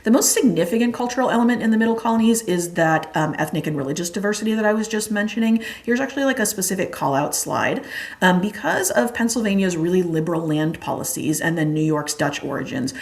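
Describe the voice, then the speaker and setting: Young woman teacher going over exam stuff, low voice, lots of likes and ums. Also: expressive, fast. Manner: chatty, many fillers like "like" and "um". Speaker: history teacher. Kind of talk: exam review lecture.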